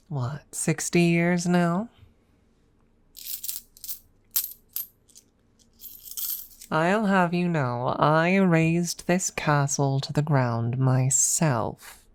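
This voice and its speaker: Woman voicing a low, slow, haughty dragon. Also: a little mellow. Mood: haughty challenge. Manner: haughty, teasing, deliberate with pauses. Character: proud, possessive female dragon, smugly teasing a rival. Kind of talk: ASMR audio roleplay, close whispers.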